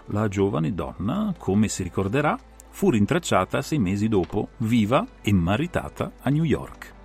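A man's voice: on the low side, very animated, unhurried, vocal fry, darkish tone. A man narrating a literary audiobook in a dramatized, storytelling style. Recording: music behind the voice. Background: music.

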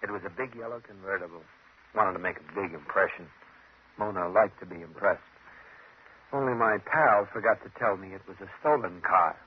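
An actor playing a furtive small-time crook with murder in mind, guarded and hesitant, in a 1950s radio thriller. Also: male voice, low voice, moderate pace, breathy edge. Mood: wry, reminiscing. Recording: muffled, noisy old radio recording.

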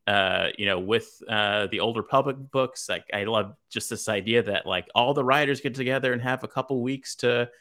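A man from Los Angeles, a writer, answering interview questions in a monotone, hesitant way with many fillers. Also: mid-range voice, normal speed, hardly any pauses. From Los Angeles, USA. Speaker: writer, podcast guest.

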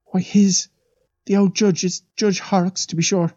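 A man's voice: sits high, little pitch movement, unhurried, dark tone, dry recording, pitched above the performer's own voice. A man reading an audiobook voices a superstitious middle-aged Irish housemaid who chatters with fearful, pious asides, on a dull, dry recording. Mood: gossiping.

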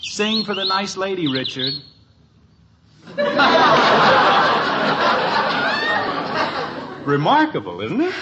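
A man's voice: high voice, huge pitch swings, drawn-out words, pauses often, a loud crowd, exaggerated voice. An actor playing an exasperated suburban father with dry, teasing sarcasm in a 1950s radio sitcom. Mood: teasing, sarcastic. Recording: dull, slightly noisy old radio recording.

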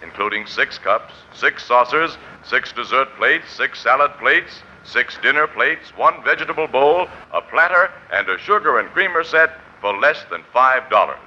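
A man's voice: medium pitch, expressive, normal speed, hardly any pauses, bright, forceful tone, slight background noise. An American man announcing on 1940s radio in a formal, emphatic sales style. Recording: muffled old 1940s radio, music behind.

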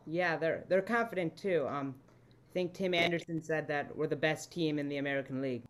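A man's voice: fairly high pitch, fairly quick, fairly bright tone. A man co-hosting a podcast and interviewing a guest in a casual, informal way.

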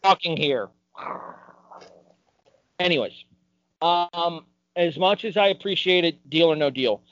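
A man giving opinions as a podcast panelist, building his points emphatically and deliberately. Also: fairly high pitch, a bit bright, no room sound. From United States. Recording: dull, lo-fi close sound.

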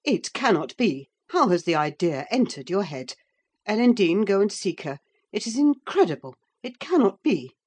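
Woman reading as an agitated, emphatic gentleman master. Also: medium pitch, very animated, moderate pace, husky. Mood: shocked disbelief. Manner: emphatic, commanding. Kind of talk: audiobook character acting.

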